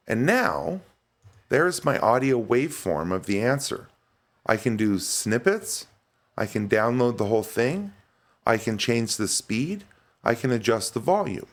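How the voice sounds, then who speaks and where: Man casually presenting a tech demo. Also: fairly low pitch.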